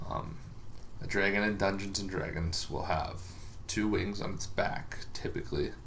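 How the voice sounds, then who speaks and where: A man giving a casual, unscripted explainer as a host, halting and rambling with long pauses, over some background noise. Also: sits low, fairly bright tone. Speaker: host of a tabletop gaming lore series.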